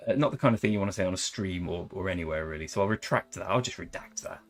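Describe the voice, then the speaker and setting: A man's voice: sits low, fast talker, soft background music. Joking young Englishman streaming a game. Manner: jokey, rambling, tries silly accents. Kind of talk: casual live-stream chat over gameplay.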